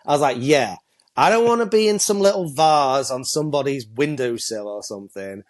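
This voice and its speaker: A middle-aged man, chatting casually as co-host, a bit stuffy from a cold and quick to laugh at himself. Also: mid-range voice, animated. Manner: chatty, self-mocking, laughs often. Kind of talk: casual chat.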